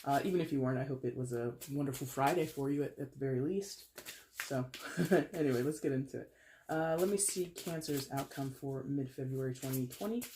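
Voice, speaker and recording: Stuffy-nosed tarot reader, chatty and rambling, mid-pitched, clearing a dry throat. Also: a little fast, a little mellow, slight background noise. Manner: chatty, rambling, self-correcting with small laughs. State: congested with a head cold. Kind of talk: casual tarot reading to camera.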